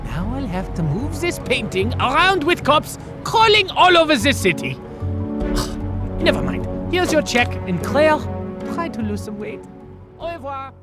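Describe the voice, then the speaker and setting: A woman's voice: high voice, sing-song, unhurried, over music. A woman voicing a snide, aloof French crime boss, a man, in a haughty, dismissive manner for a cartoon fandub. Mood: haughty, annoyed.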